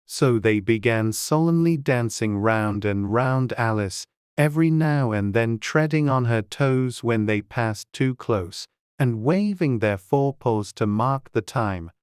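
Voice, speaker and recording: Audiobook narrator reading a story, really clean smooth voice, dry and close. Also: medium pitch, a little mellow, no echo.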